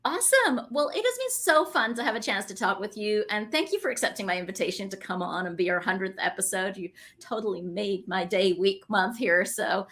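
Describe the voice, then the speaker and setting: A woman's voice: mid-range voice, lively intonation, brisk, hardly any pauses, fairly bright tone. A woman hosting a conversational podcast interview, effusive and encouraging with her guest.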